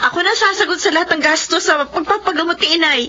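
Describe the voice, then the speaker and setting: A woman's voice: very high voice, fast talker. An actress playing a proud, practical young mother in a radio drama, with an assertive manner. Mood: concerned, brisk. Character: headstrong working young mother, proud, practical, dutiful daughter. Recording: muffled radio broadcast, busy crowd noise.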